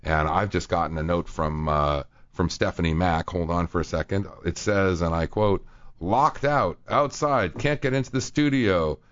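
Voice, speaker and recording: Male radio host, very low voice, dry studio sound, dull lo-fi recording, casual with fillers. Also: normal speed, fairly bright tone, no echo. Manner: reads quotes aloud at length, many fillers.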